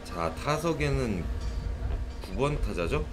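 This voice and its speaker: A man does live play-by-play for an amateur baseball game. His voice sits at a middle pitch and swings widely, going from measured calls to excited shouts. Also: music in the background. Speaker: play-by-play commentator.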